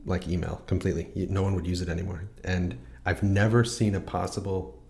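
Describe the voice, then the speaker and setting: Deep-voiced middle-aged man telling stories. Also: brisk, creaky. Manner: storytelling, animated when quoting others. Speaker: podcast host. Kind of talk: casual chat.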